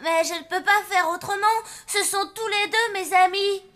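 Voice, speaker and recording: A woman voicing a cheeky, good-natured schoolchild in a cartoon, with childlike, expressive delivery. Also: very high voice, normal speed. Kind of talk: French cartoon dub acting.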